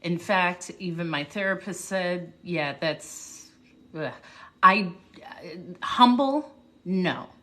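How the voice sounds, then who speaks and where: A woman speaker chatting casually with viewers on a livestream, reflective and unhurried, pausing and restarting often. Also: fairly low pitch, fairly bright tone. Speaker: livestream host, motivational speaker. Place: home livestream. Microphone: phone or webcam mic.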